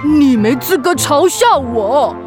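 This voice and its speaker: High-voiced, flustered grumpy witch. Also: lively intonation, slow pace, music in the background. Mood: huffy, defensive. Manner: hesitant, huffy, stammering. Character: grumpy, flustered crybaby witch aunt, secretly kind. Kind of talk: children's audio drama acting.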